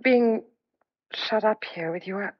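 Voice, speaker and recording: An actress playing an anxious, soft-hearted young woman in an old-fashioned radio thriller, speaking in a hesitant, stammering, emotional way. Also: mid-range voice, a little slow, dry recording, lightly acted. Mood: shy, relieved. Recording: dry, dull lo-fi radio drama recording.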